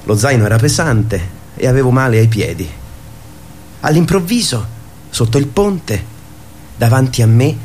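An actor playing a dreamy, earnest young man in a radio play, telling his story with hushed pauses. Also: mid-range voice, lively intonation, a little hiss or hum, close to an everyday voice. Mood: wondering, earnest. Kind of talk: radio theatre acting.

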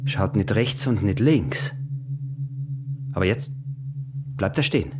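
Ominous peasant smuggler, really slow terse delivery, radio drama, loud noisy atmosphere. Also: male voice, lowish voice, animated. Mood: watchful, tense.

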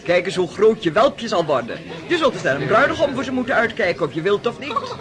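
An actor in a radio drama playing a blunt, imperious society dame who teases and scolds. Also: male voice, sits high, big pitch swings, fairly quick, crowd noise. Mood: boisterous teasing.

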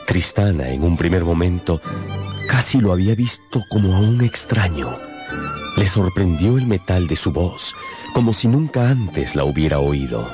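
A man narrating a literary radio drama as a storyteller, with dramatic pauses and emphasis. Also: deep voice, expressive. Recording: music behind the voice.

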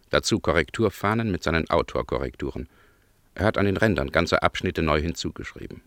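A radio play narrator with a very low voice, recorded close and dry. He sets out literary observations in measured, clipped phrases. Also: a bit bright, dry recording. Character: male narrator observing nighttime city street scenes.

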